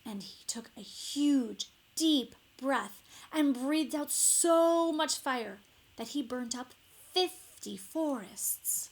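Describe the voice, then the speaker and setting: A woman reads a children's story aloud in a higher-than-average voice. Her narration is slow and expressive, leaning on key words for dramatic emphasis. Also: poor audio. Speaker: storybook reader.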